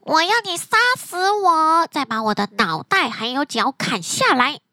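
A woman's voice: high-pitched, sing-song, unhurried, sharp tone, no echo, studio quality, heavily acted. A woman voicing a clever, helpful little fox in a bedtime story, talking in a coaxing, instructive way. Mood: advising.

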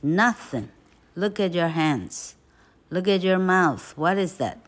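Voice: mid-range voice, expressive, slow pace. A teacher voicing a loving but exasperated old aunt from a storybook read aloud, raising the voice to scold. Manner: raises voice to shout and scold.

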